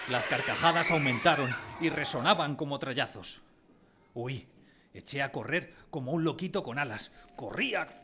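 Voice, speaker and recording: A man playing a sensitive grown man who recalls his timid boyhood, in expressive, dramatised first-person storytelling. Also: a little high, slight background noise. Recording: prominent music behind the voice.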